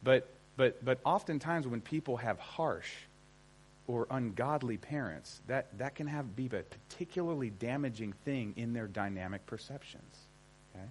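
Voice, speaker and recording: A man's voice: medium pitch, normal speed, a little hiss or hum, poor audio. Conversational American man teaching a seminar. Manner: conversational, emphatic, often checks in with listeners. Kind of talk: informal seminar lecture to an audience.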